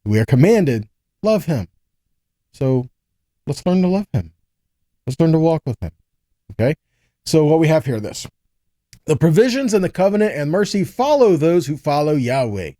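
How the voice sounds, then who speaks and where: A man's voice: on the high side, big pitch swings, moderate pace, pauses often, warm tone, no room sound, studio quality. A man giving a conversational Bible teaching, reading verses aloud and explaining them earnestly, often checking in with short rhetorical questions.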